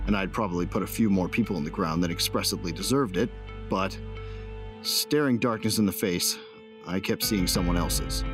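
Man acting a deep-voiced, cocky bounty hunter. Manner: colloquial, swaggering, wry. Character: cocky, hot-tempered male bounty hunter with a soft heart. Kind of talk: first-person scripted audio drama narration.

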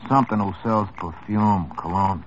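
An actor playing a small-time hoodlum in an old radio drama, tough, wry and world-weary. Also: male voice, lowish voice, moderate pace, slight background noise, natural voice. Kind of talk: 1950s radio drama acting. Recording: muffled old radio broadcast.

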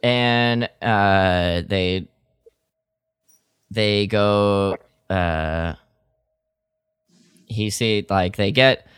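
A man's voice: on the low side, drawn-out words, pauses often, fairly bright tone, clear, resonant tone, no echo, studio quality. A man co-hosting a podcast in casual chat, with an informal, playful way of bantering. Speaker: podcast co-host.

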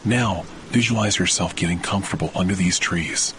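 A man with a deep, low voice guides listeners through a scripted relaxation meditation. His delivery is soothing and measured, like that of a sleep podcast host. Rain on leaves and soft thunder are clearly audible behind his words. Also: a little hiss or hum. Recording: loud rain and thunder sound bed.

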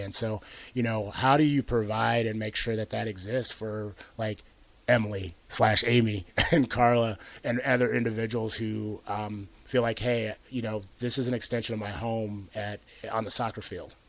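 A middle-aged man hosts an interview in a low, fairly level voice. He talks in a reflective, earnest way, and his sentences are dotted with "you know.". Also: fairly even intonation, normal speed. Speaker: host. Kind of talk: radio interview conversation.

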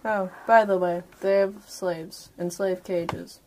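A woman's voice: fairly low pitch, fairly even intonation, slow, a little mellow, slight background noise, poor audio. A woman chatting casually and jokingly as a player in a tabletop game.

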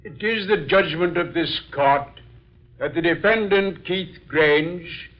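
An actor playing a judge formally reading a verdict in an old-time radio drama. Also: male voice, on the high side. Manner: measured, formal courtroom delivery. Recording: dull lo-fi old radio recording.

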